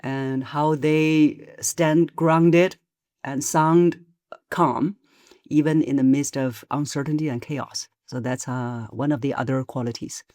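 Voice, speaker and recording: A woman voice coach, a podcast guest, explaining in a measured way with frequent pauses and hesitations. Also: extremely low pitch, fairly even intonation, darkish tone, no room sound, studio quality. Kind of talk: podcast interview.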